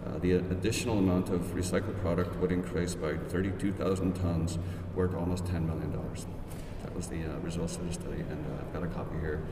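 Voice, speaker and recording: A middle-aged Irish man with a very low voice talks slowly and carefully, stopping often on fillers. He is picked up from a distance in a large, reverberant hall, and noise runs underneath. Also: little pitch movement. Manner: measured, careful, with many fillers and restarts. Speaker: economist presenting a study. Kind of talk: press conference remarks. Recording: echoey hall, distant mic, background noise.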